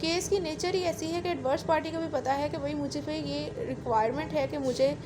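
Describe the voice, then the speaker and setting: Female law lecturer, Pakistani accent, high voice, didactic and repetitive, mixing in English terms, clearly noisy recording. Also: fairly even intonation, fairly quick. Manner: didactic, repeats and rephrases points.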